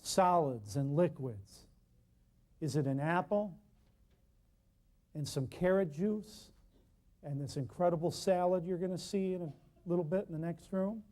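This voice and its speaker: A man teaching a natural healing workshop to a live class, talking loudly and emphatically in a folksy way, with lots of repeated lists of words. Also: on the high side, measured pace, long pauses.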